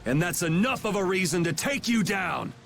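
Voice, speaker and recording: A man's voice: high voice, lively intonation, moderate pace, fairly bright tone, slight background noise. A man voicing a blunt, irreverent young hero with a casual, defiant way of talking in a video game cutscene. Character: blunt, cocky young male vigilante hero.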